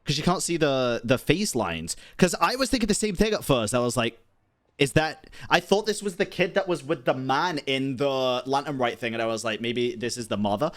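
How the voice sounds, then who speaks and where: A man's voice: medium pitch, lively intonation, normal speed, a bit bright. A man giving casual, reactive, sweary live commentary as he plays a video game.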